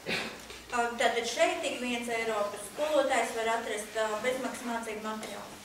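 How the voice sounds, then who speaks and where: A woman with a slightly high voice renders a speaker's remarks, measured and full of small pauses. She is far from the mic in a hall with heavy echo and faint noise. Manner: measured, with frequent fillers. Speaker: interpreter. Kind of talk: consecutive interpreting.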